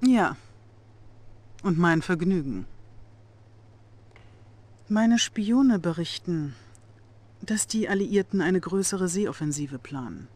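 A woman's voice: fairly low pitch, lively intonation, takes it slowly, long pauses, a little mellow, no room sound. An actress playing a young, ruthless female Soviet intelligence officer, controlled, icy and authoritative, in a game cutscene. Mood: icy, menacing. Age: young adult. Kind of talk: dramatic military briefing.

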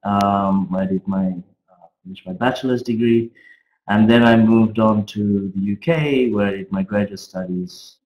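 Man, middle-aged, slightly deep voice, hesitant academic webinar delivery, echoey room, computer mic. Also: unhurried, muffled recording. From Australia. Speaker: finance professor presenting a programme webinar. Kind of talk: informal academic presentation. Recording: roomy, echoey webcam audio.

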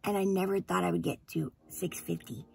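Hoarse, gravelly chatty woman vlogger. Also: on the low side, fairly even intonation, a little fast. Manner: chatty, rambling, gushing. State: hoarse after surgery. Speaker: beauty vlogger. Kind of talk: casual chat to camera.

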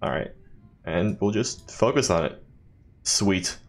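A man's voice: deep voice, takes it slowly. A man doing casual, joking let's play commentary, with swearing and muttered asides.

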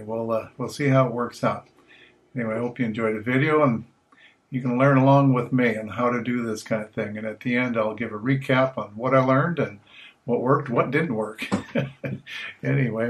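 A man's voice: mid-range voice, fairly even intonation, normal speed, slightly rough, no room sound. An older man, around his sixties, chatting casually to camera in a folksy, rambling, easygoing way as he hosts a hobby video.

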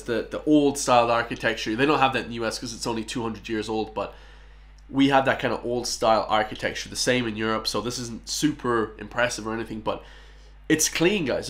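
A man's voice: mid-range voice, a bit bright. Chatty young Irish man reacting. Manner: chatty, keeps addressing "guys". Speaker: YouTube reactor. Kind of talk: casual reaction commentary. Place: indoors in a small room.

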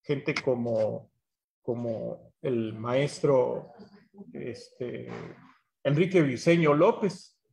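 Gravelly older man, halting delivery. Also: mid-range voice, darkish tone. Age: 70s. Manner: halting, searching for words, repeating phrases. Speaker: speaker at a history symposium. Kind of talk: conference talk recalling personal memories. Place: small bright room indoors, on a video call.

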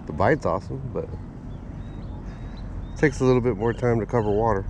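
A man giving casual running commentary while fishing at a park pond, talking to an action camera and getting excited over his catches. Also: lowish voice, fairly quick, vocal fry. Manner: easygoing, talks to himself and the camera, excited by catches. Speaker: fishing vlogger. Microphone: chest- or head-mounted action camera. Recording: faint outdoor noise.

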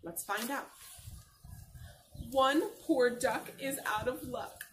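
A woman's voice: fairly high pitch, slow. A young adult woman reading a picture book aloud to children as a teacher, expressively, asking questions and answering them. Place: outdoor patio by a garden. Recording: noisy outdoor camera recording.

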